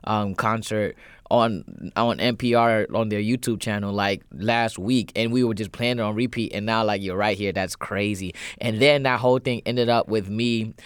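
Smooth-voiced man, halting and chatty. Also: lowish voice, dry recording, clean studio sound. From United States. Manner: hesitant, full of ums, lively when storytelling. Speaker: musician guest. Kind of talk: casual radio interview. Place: radio studio.

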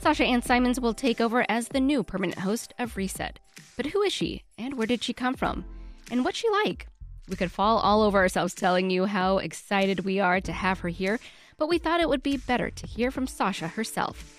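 A woman's voice: fairly high pitch, lively intonation, a little fast, soft background music. A woman reporter hosting a radio interview, asking friendly questions and laughing lightly. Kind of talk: interviewing a guest. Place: radio studio.

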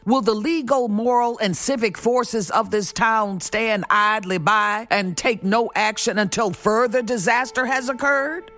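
A woman's voice: a little high, a little slow, few pauses, a bit bright. A woman playing a bold activist journalist in a radio drama, speaking in a pointed, oratorical, accusing way. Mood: grave, urgent. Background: music.

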